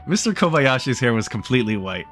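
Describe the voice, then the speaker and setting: Animated man reading a game aloud. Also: medium pitch, quick pace. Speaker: let's-play host reading game text aloud. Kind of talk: casual playthrough narration with joking asides. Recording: faint music behind voice.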